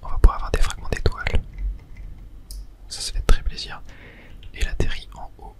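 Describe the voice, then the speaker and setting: An ASMR streamer whispering gameplay commentary in a breathy, unvoiced voice, murmuring thoughts aloud. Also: normal speed.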